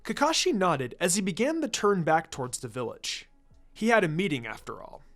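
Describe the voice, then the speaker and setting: A man narrates fiction in a dramatic reading style. His pitch sits a bit high and moves around a lot. Soft music plays in the background. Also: very animated, normal speed. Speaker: fan fiction reader.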